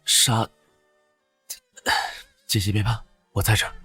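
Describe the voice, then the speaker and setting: An actor playing a young beggar in a costume drama, husky, exasperated yet protective. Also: male voice, fairly low pitch, level tone, slow, sharp tone, no echo, soft background music, exaggerated voice. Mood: tender. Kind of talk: dubbed animated costume drama.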